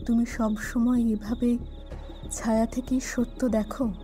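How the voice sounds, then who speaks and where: A woman's voice: fairly high pitch, level tone, slow pace, mellow. An actress playing an astonished, searching female investigator in an audio thriller, speaking with hesitant wonder. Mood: astonished, questioning. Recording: music under the voice.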